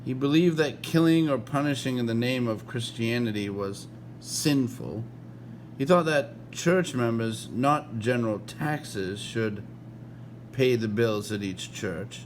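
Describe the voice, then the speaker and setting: An American man reading aloud informally like a read-along host, halting at times and correcting himself as he goes. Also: medium pitch, a little hiss or hum.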